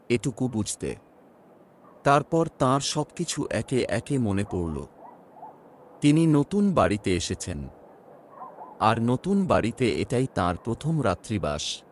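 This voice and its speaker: Low-voiced man narrating horror with dramatic swings. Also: darkish tone, slight background noise. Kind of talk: dramatic scripted storytelling narration. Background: background music.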